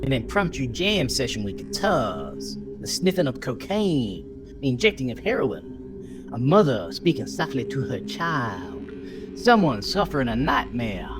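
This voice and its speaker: Guy narrating horror real slow and dramatic, pitch swings around, loud music behind. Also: very animated, low-quality recording. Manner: theatrical, emphatic, deliberate pauses.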